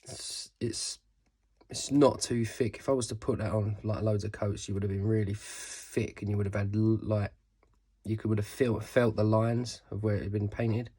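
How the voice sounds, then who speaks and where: Stuffy-nosed man, low voice. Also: fairly even intonation, normal speed, mellow, slightly rough. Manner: rambling, swears casually, corrects himself mid-sentence. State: stuffy nose from a cold. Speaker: sneaker customizer. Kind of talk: casual how-to tutorial.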